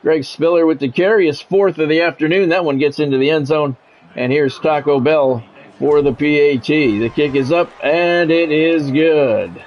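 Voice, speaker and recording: A man's voice: fairly high pitch, moderate pace, highs cut off. A middle-aged American man doing play-by-play of a football video game on a livestream, mixing polished, clipped announcer calls with casual chat to viewers.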